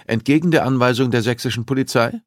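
A man's voice: medium pitch, quick pace, no room sound, clean studio sound, voice pushed up, close to an everyday voice. A man voicing a worried, reluctant newspaper publisher in an audiobook, wary and grudging in manner. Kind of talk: audiobook dialogue acting.

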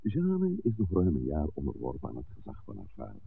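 Shrewd, genial old priest, swooping expressively, slyly persuasive, on a tinny old radio recording. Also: male voice, a bass voice, wildly swooping pitch, creaky, slight background noise, full character voice. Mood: sly, measured. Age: older. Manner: deliberate, eloquent, theatrical. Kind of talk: 1960s Dutch radio play acting.

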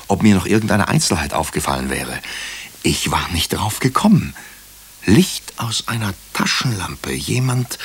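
A very low-voiced, husky male hospital doctor narrates a crime radio play. He is brooding and wry. His phrases come measured and clipped, as if thinking aloud. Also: heavily acted. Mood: tense searching. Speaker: narrator and lead character. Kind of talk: German radio drama, first-person crime narration.

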